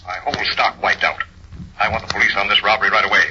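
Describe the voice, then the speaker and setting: Fast, indignant businessman on telephone. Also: lowish voice, fairly even intonation. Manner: brisk, indignant. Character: indignant businessman demanding police action. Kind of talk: 1930s radio drama acting. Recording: very noisy, muffled old radio recording.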